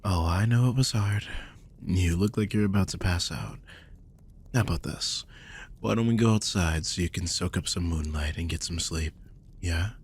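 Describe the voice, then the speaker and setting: A man playing a grumpy, sardonic old vampire mentor in an intimate audio roleplay, husky and informal, prone to swearing. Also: low voice, moderate pace, a little hiss or hum. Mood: patient, gently coaxing.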